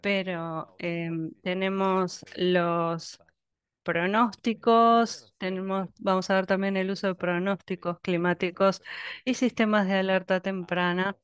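Woman, live interpreter, unhurried, clear resonant voice, pauses and fillers. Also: lowish voice. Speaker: simultaneous interpreter. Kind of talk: live interpretation of a webinar talk.

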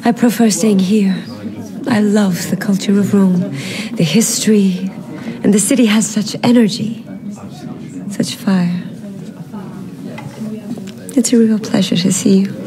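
Poised society hostess, played by an actress. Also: female voice, medium pitch, steady tone, a little slow, pauses often, a crowd in the background, lightly acted. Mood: gracious. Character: gracious society hostess, composed and worldly. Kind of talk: radio drama acting.

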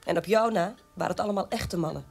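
An actress playing a proud defender of a military officer family in radio drama dialogue. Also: female voice, lowish voice, fast talker, creaky, soft background music.

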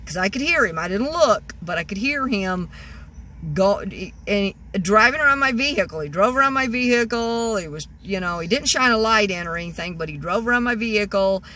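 A woman's voice: medium pitch, expressive, brisk, pushed and bright. A woman in her sixties telling a rambling story from her parked car, with lots of restarts and fillers, filmed on a phone at arm's length. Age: 60s. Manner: rambling, many restarts and "you know"s. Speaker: vlogger. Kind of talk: casual storytelling. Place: parked car, indoors of vehicle.

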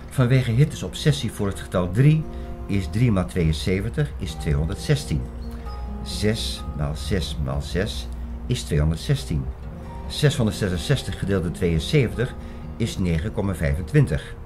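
A man playing an earnest theorist in an audio drama, lecturing in an emphatic, didactic and insistent way. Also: low-pitched. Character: earnest male theorist expounding an apocalyptic conspiracy. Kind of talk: Dutch audio drama acting, lecturing monologue. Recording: prominent music bed under the voice.

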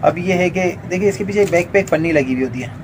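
A man from Pakistan, a wholesale shopkeeper pitching products and filling his talk with "you know" tags. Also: fast. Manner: fills with "jo hai na" and "theek hai na". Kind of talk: sales pitch demonstration. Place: indoors, crowded accessories shop. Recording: noisy busy shop.

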